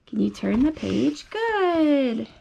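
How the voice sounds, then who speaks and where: A young mother reads a bedtime picture book to her small child in a bedroom, her voice a little high and soft. She reads slowly in a sing-song way, stopping often to ask gentle coaxing questions. Recorded up close on a phone held over her lap. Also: big pitch swings, vocal fry, highs cut off. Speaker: mother reading to her toddler. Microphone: phone held above the reader's lap.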